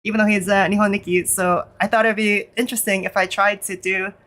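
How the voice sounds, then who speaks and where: A Canadian woman streaming in a nervous, casual way, with lots of fillers, switching between English and halting Japanese. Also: mid-range voice, little pitch movement, fairly bright tone. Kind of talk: casual live stream chat.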